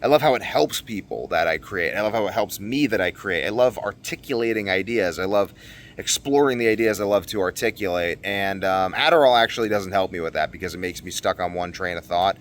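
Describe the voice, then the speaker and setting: A man with a fairly low voice speaks off the cuff to his viewers. He rambles earnestly through his thoughts in a casual vlog style, leaning on repeated phrases to drive points home. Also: fairly quick, slight background noise. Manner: rambling, earnest, emphatic repetition. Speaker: video blogger. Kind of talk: casual unscripted monologue to viewers.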